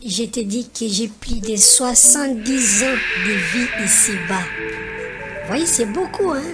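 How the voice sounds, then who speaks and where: A woman voicing a wise, affectionate grandmother over seventy in an animated film, talking slowly and deliberately to children over music. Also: fairly high pitch. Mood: wise, gentle. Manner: slow, deliberate storytelling to children. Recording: music behind the voice.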